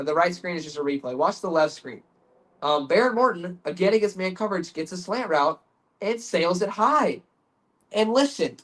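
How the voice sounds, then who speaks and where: A man's voice: sits high, rough recording. A man hosting a sports podcast, congested from the flu, talking through football film in an earnest, chatty way that stumbles and repeats words. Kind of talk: casual football film breakdown.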